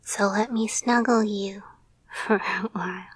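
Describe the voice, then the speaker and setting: Affectionate girlfriend caretaker, female performer for intimate roleplay audio: unhurried, gentle, playful, hushed and breathy, murmured close. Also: medium pitch, fairly even intonation, no room sound, rough recording. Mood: teasing, affectionate. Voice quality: soft, breathy whisper.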